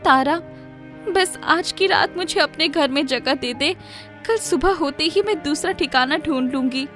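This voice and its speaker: A poor young mother voiced by a woman pleads in a high, raised pitch. She sounds trusting, bewildered and distressed, in animated storybook style, with music underneath. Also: unhurried. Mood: desperate, pleading. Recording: music playing behind the voice.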